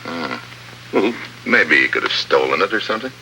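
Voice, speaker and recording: An actor playing a tough, cool American undercover agent in 1950s radio drama style, clipped and wry. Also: male voice, low voice, very animated, moderate pace, sharp tone, heavily acted, low-quality recording. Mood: guarded. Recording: old radio recording, faint noise.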